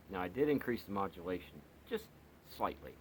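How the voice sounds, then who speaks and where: A man's voice: deep voice, big pitch swings, normal speed, a little hiss or hum. A man rambling through a casual radio review, full of fillers and restarts. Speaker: radio reviewer, channel host. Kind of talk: casual product review vlog.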